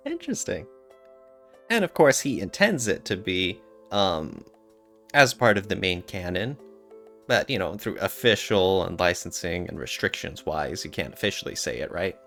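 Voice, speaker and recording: Low-voiced man co-hosting a podcast. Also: very animated, measured pace, vocal fry, a bit bright, faint music behind. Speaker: podcast co-host. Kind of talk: casual podcast discussion.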